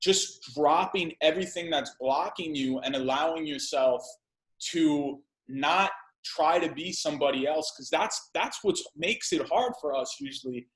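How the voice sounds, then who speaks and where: A man's voice: on the high side, unhurried, a bit bright. Emphatic man in his thirties, echoey room. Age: 30s. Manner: emphatic, repeats himself, casual swearing. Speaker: guest entrepreneur. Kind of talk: casual podcast conversation.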